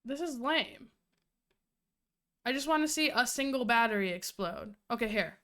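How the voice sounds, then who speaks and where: A woman's voice: on the high side, lively intonation, normal speed, a bit bright. A young adult woman streaming, chatty and profane, who sounds nasal and congested from a cold. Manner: chatty, profane, laughs often. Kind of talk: casual live-stream chat.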